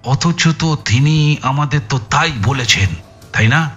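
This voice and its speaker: An actor playing an exhausted, frustrated police detective in an audio thriller, with a halting, emphatic way of telling things. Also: male voice, fairly high pitch, a bit bright, husky, muffled recording, faint music behind. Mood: intent, explaining. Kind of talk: dramatized audio thriller acting.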